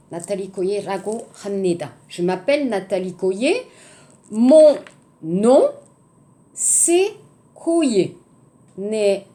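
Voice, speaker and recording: Lively French woman teaching slowly. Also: fairly high pitch. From France. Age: 50s. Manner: slow, repeats each phrase across languages, checks in with "hein?". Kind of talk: introductory language lesson.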